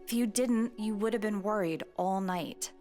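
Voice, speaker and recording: A woman playing a caring, playful wife who gently probes, in a comic dub drama. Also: medium pitch, normal speed, over music, lightly acted. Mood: caring, probing. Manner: gently probing, teasing.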